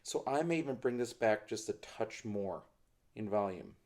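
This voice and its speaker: A man's voice: on the low side, expressive, normal speed, darkish tone. A middle-aged man reviewing ukulele gear in a small studio, explaining things in a thoughtful, hedging way. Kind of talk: product review demonstration. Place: small indoor studio with a plain backdrop.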